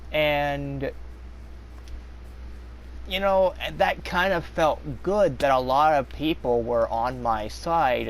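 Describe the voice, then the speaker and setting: A young man talks in a slow, unhurried, rambling way, full of fillers and restarts, with a slight rasp in his voice. His pitch sits in an ordinary middle range. Faint noise hums under the recording. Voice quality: slightly raspy when talking long. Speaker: vlogger. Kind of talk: casual confessional monologue.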